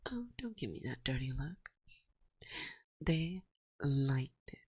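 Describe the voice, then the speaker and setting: A woman playing a playful, dominant forest witch in an ASMR roleplay, speaking softly and breathily close to a binaural mic, often slipping into a whisper, teasing and coaxing. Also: rumbling low, big pitch swings, husky, dry recording, lo-fi sound, exaggerated voice. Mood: playful, musing. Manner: slow, teasing, coaxing, with musing pauses. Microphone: close binaural microphone.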